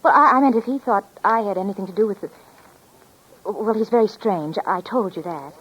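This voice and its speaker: An actress playing an anxious, evasive client in fretful, halting 1940s radio melodrama style. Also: female voice, mid-range voice, slightly rough, lightly acted. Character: anxious, evasive female client with a guilty conscience. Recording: muffled old 1940s radio recording.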